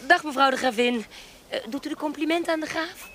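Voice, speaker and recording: An actress playing a shrewd, composed baroness in a period audio drama, polite, measured and genteel. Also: female voice, sits high, fairly bright tone, slight background noise. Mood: smoothly persuasive.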